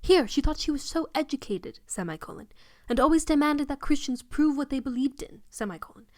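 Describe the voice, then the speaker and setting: High-voiced woman narrating a story, dry sound. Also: lively intonation, fairly quick, dry recording. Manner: pointedly voices punctuation aloud. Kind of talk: mock-dramatic reading of fan fiction.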